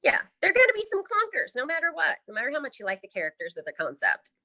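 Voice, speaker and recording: A woman from the American Midwest talks as a podcast interview guest. Her voice is bright and expressive, moving freely in pitch, and she speaks in a candid, chatty, casual way. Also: fast.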